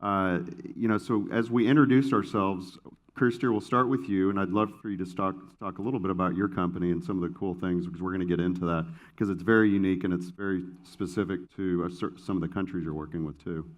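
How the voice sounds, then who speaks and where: An American man moderates a panel on a conference stage, his voice very low. He talks loosely, restarting sentences and filling gaps with "uh" and "you know". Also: fairly even intonation, quick pace. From United States. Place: conference stage before an audience.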